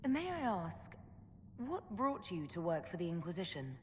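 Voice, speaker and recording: A courteous, direct heroine from a fantasy game. Her voice sits a little low and stays calm and inquisitive. There is hall reverb and slight noise around it. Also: moderate pace. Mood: curious, polite. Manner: polite, questioning. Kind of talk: video game dialogue acting.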